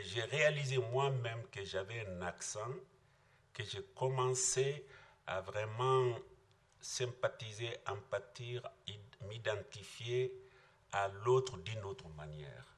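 An older man from Mali with a Malian accent, speaking in a reflective panel discussion in a thoughtful, halting way with frequent restarts. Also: medium pitch, fairly bright tone.

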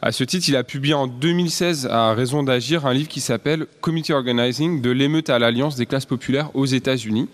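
A man chairs an academic panel and introduces the speakers in a halting way, full of fillers. His voice sits at an ordinary mid pitch. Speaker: conference moderator.

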